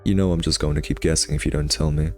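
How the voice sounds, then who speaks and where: A man's voice: very deep voice, fairly even intonation, fast talker, darkish tone, soft background music. A man playing a cocky, sardonic vampire nemesis who teases and taunts the listener in an intimate ASMR roleplay. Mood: smug, teasing.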